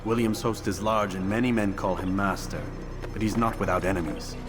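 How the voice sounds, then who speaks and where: A deep-voiced assassin played by a man for a video game. He speaks tersely and with calm confidence, businesslike to the core. Music plays clearly behind him. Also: fairly quick, natural voice. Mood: calm resolve. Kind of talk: video game character acting.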